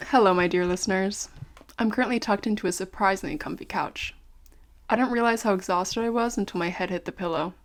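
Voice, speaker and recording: Woman acting a polite, curious podcaster, mid-pitched. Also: brisk, slight background noise, natural voice. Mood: confiding. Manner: courteous, conversational, addresses listeners directly. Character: curious, polite female investigative podcaster among zombie fighters. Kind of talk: audio drama podcast narration and dialogue.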